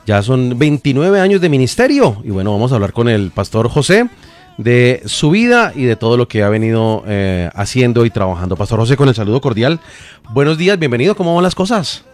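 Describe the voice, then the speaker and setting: Radio host, male, animated pitch, friendly questioning, soft music behind. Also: fairly quick. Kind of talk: live radio interview.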